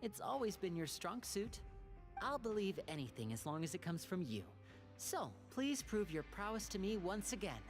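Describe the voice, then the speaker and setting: Confident young man, game strategist, theatrical and caring, animated pitch swings. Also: moderate pace, soft background music. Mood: reassuring, persuasive. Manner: theatrical, persuasive, reassuring. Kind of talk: video game character acting.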